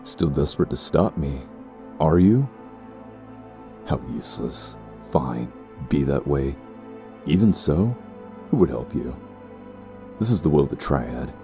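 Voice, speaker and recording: A man's voice: rumbling low, lively intonation, measured pace, gravelly, long pauses, a little mellow, faint music behind, full character voice. A man playing a cold, condescending and ruthless warrior clansman in an audio roleplay, speaking in a haughty, formal way. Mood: threatening. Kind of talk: scripted audio roleplay acting.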